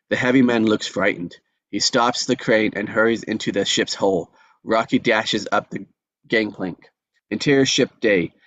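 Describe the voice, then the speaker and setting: A man's voice: fairly low pitch, a bit bright, dry recording. Dull dry recording, guy reading script action lines as narrator. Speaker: narrator reading stage directions. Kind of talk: scripted narration at a table read.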